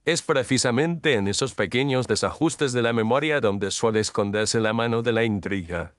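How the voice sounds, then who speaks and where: A man's voice: medium pitch, moderate pace, no room sound, close to an everyday voice. A man playing an ironic, incisive Victorian detective in a scripted audio drama, speaking in sententious lines. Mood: probing.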